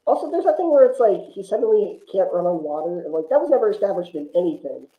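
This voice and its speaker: A man's voice: high-pitched, very animated, fast talker. A man on a podcast panel, casually discussing films in an echoey room with muffled sound.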